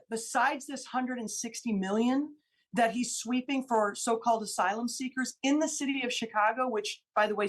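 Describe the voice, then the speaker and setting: Female lawmaker guest, from Illinois, on talk radio: forceful, repeats her figures, voice sits a little high. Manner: emphatic, repeats key figures for stress. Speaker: state senator guest. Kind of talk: radio interview, political argument.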